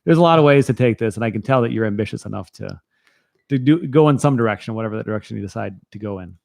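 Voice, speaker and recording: A man's voice: fairly low pitch, rapid-fire, gravelly, a little mellow, dry recording, studio quality. A man hosting a casual interview, talking in earnest and encouraging, with long rambling sentences. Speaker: podcast host.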